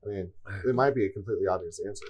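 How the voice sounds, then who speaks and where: A man's voice: sits low, rapid-fire. A man co-hosting a casual podcast chat, telling easygoing stories and laughing easily. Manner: relaxed storytelling, easy laugh. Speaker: podcast co-host.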